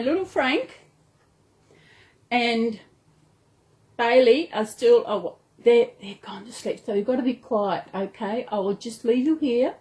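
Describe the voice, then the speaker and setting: A woman's voice: high voice, darkish tone. A woman with an Australian accent chatting casually and affectionately in a rambling home vlog, talking to viewers and to her dolls. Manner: rambling, affectionate, talks to dolls and toys as if alive. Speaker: doll collector hosting a storytime video channel. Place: indoors at home.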